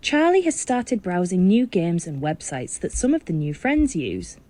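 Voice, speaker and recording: A woman's voice: on the low side, very animated, moderate pace, warm tone. A woman reading scripted instructional narration for a game, heard as game audio. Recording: game audio with background noise.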